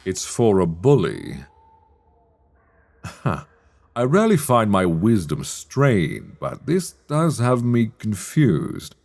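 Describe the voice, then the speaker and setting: Man playing a deep, sly sorcerer schoolmaster. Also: wildly swooping pitch, gravelly, darkish tone, full character voice. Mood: puzzled, amused. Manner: theatrical, measured, wry, formal storybook phrasing. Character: sly, teasing magic academy master, mature man, dark fantasy. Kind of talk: intimate ASMR fantasy roleplay.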